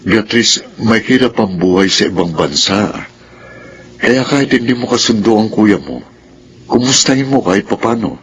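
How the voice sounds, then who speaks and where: A fairly low-voiced father played by a man, middle-aged and earnest. He speaks in a measured, gently admonishing way typical of family radio drama. There is faint background noise. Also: lively intonation, lightly acted. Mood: concerned, hopeful. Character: earnest, concerned older widowed father. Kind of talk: Filipino radio drama acting.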